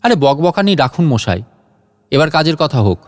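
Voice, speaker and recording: Audio story ghost man acting: grumpy night intruder, harsh rasp, curt, lively intonation over music. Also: a little high, moderate pace. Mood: irritated. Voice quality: harsh, rasping voice. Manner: curt, impatient. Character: grumpy, irritable male ghost intruder in the dark.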